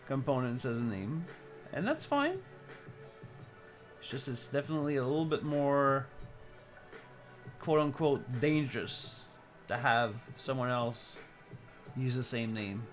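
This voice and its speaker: Male streamer, forties, mid-range voice, loose halting chat, faint music behind. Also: mid-range voice, a bit bright. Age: 40s. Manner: halting, rambling, repeats words, chuckles. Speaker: live streamer. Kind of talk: casual chat with stream viewers.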